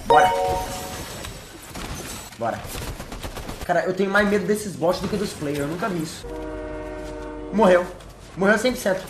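A young man with a Brazilian Portuguese accent streams a game from his bedroom through a headset. His voice leaps up and down as he jokes and shouts boastful mock English. The room rings with echo under a faint hiss. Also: high-pitched, lively intonation, normal speed, pauses often. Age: young adult. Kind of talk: live gameplay commentary. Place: bedroom with gaming chair.

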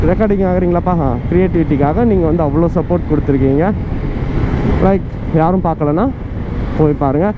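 A young man with a Tamil accent chatting playfully to his viewers while riding a motorcycle, speaking through a helmet mic with the visor open. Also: on the high side. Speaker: motovlogger. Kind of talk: casual chat while riding. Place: on a motorcycle on open roads outdoors. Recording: muffled with loud wind and traffic noise. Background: wind, engine and traffic.

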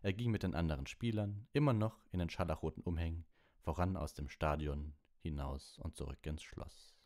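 A man's voice: very deep voice, moderate pace, darkish tone. A man narrating a storybook as an audiobook reader.

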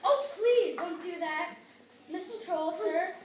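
Little plucky goat kid played by a woman, extremely high voice, children's play, echoing distant pickup. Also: moderate pace, crowd noise. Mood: polite, pleading. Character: small, skinny, hungry, fearless young billy goat. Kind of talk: children's fairy-tale play. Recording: echoey room, far from mic.

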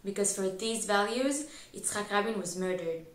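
A woman's voice: mid-range voice, animated, moderate pace. Teenage female reciting in echoey room. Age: teens. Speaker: high school student, reader. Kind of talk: poetry recitation. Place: indoors against a plain wall.

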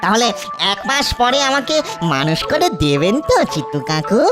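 A woman voicing an excitable, childlike boy ghost in a children's cartoon, with a sing-song, wheedling delivery. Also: mid-range voice, moderate pace, lightly acted. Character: excitable childlike young male ghost, pleading and gleeful. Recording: loud music over the voice.

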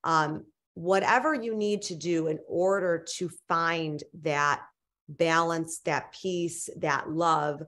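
A young woman speaks as an author on a video call from her home, with a voice set low for a woman. She answers earnestly, often stopping and restarting her sentences as she looks for the right words. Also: fairly even intonation, takes it slowly, fairly bright tone. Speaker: guest author. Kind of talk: casual interview answers. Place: home living room indoors. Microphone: video call webcam.

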